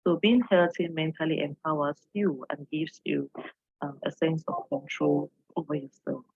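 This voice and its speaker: A woman's voice: deep voice, fairly even intonation, normal speed, creaky, a little mellow, slightly rough, no echo, muffled recording. A woman from Cameroon, an English teacher, giving an earnest online presentation and posing questions to her audience, with frequent fillers.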